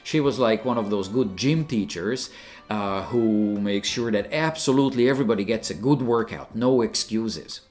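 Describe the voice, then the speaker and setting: A man's voice: fairly low pitch, moderate pace, a little mellow, soft background music. An older man from Hungary, a retired teacher giving an emphatic, opinionated scripted narration.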